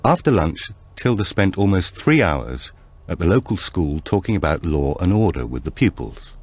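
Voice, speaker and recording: Man, very low pitch, lively intonation, measured scripted storytelling, suspense audiobook. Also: breathy edge, no room sound. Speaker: audiobook narrator. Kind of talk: scripted narration of a suspense novel.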